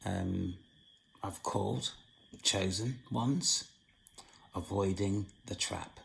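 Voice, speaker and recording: A hoarse man hosting his own channel, giving a solemn spiritual monologue in a slow, halting way with long pauses. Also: low-pitched, a bit bright, poor audio. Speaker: channel host.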